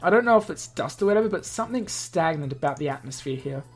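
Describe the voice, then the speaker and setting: A man's voice: a little high, lively intonation, fast talker, husky, faint music behind. A man reading a visual novel aloud as a let's player, stumbling over lines and adding casual explanatory asides. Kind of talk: game narration with casual asides.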